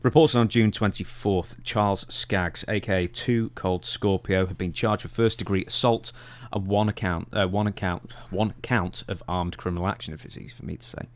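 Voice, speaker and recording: A man's voice: low-pitched, steady tone, normal speed. A man co-hosting a podcast, talking casually as he recaps news and puts questions to his partner.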